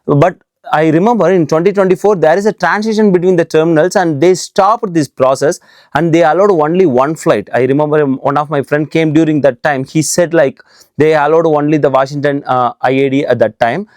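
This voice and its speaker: Quick chatty guy hosting, tamil first language, dry close sound. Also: on the high side, fairly even intonation, darkish tone, dry recording. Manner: fast, eager talk with frequent fillers. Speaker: host. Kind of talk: casual interview.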